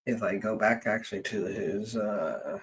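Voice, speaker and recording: A man hosting a casual genealogy stream, talking in a halting way as he thinks aloud during a screen-share. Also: on the low side, slight background noise.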